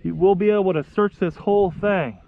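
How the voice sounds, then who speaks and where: A young American man chatting casually to viewers in a folksy, excitable way while out on the water. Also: sits high, brisk, creaky. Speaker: vlog host. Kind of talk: casual outdoor vlog chat. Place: outdoors on a kayak under a bridge. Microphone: action camera. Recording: muffled action camera audio with outdoor noise.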